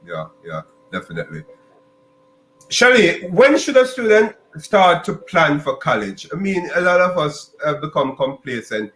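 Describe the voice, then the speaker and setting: A man's voice: on the high side, very animated, measured pace, fairly bright tone. Man asking rambling questions, echoey room. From Jamaica. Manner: rambling, restarts often, repeats words. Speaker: podcast host. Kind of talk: podcast interview questions.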